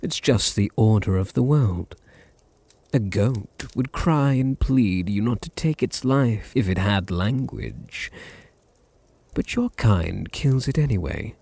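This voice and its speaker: Man acting a deep, gravelly British vampire master. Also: animated, no room sound, heavily acted. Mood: smug, cold. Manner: slow, purring, condescending, softly teasing. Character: possessive, teasing, dominant aristocratic male vampire master. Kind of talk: intimate ASMR roleplay.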